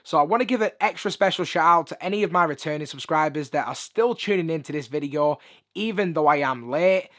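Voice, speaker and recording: A hoarse man, chatting upbeat through a sneaker review in a room full of shoes. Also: on the high side, fairly quick, a bit bright. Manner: chatty, upbeat, lots of filler phrases. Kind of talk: casual video review. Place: room with shoe collection.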